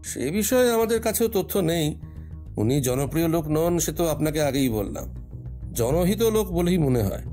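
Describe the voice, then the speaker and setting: A man's voice: a little high, very animated, normal speed, mellow, faint music behind. An actor playing an earnest, deferential and slightly uneasy male police inspector in an audio crime drama. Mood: defensive. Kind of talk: dramatised audio detective story acting.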